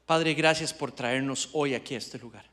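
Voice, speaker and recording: A man's voice: mid-range voice, moderate pace, a bit bright. A man interpreting a sermon live into Spanish, hesitating and restarting as he goes. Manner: hesitant, many fillers and restarts while interpreting. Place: church sanctuary.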